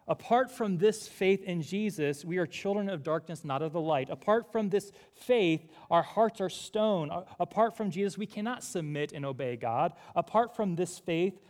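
A man's voice: fairly high pitch, darkish tone. A male pastor preaching a sermon to a congregation in a church room, earnest and building through repeated phrases.

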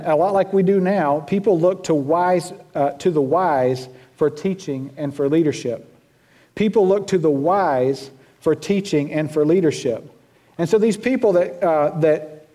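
Southern pastor with a folksy delivery. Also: male voice, fairly high pitch, dark tone. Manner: folksy, self-deprecating, many restarts. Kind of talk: sermon.

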